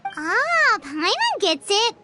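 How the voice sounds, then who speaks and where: A woman voicing a tiny, childlike fairy companion in a video game, squeaky, eager and naive. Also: huge pitch swings, slow, over loud music, exaggerated voice. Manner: squeaky, refers to herself by name. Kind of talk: scripted game character acting.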